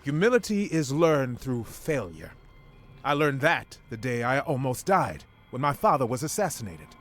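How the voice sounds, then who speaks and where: A forgiving clan leader in a fantasy game. His mid-pitched voice moves animatedly, earnest and reflective in delivery. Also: very animated, moderate pace, fairly bright tone.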